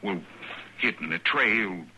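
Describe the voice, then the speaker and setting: An actor playing a brash but cowardly American frontier troublemaker in a 1950s radio western. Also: male voice, low-pitched, slow pace, pushed and bright, exaggerated voice. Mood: nervous, defensive. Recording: old radio recording with faint noise.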